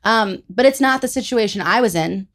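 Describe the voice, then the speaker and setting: Young woman calmly arguing her side. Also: medium pitch, moderate pace. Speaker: panelist. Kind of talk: casual debate.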